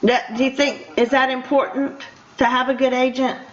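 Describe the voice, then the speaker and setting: Older female radio host, fairly high voice, chatty and encouraging, circles back over phrases, dull lo-fi audio. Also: little pitch movement, moderate pace, slight background noise. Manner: encouraging, repeats herself. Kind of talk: conversational interview. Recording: muffled radio interview sound.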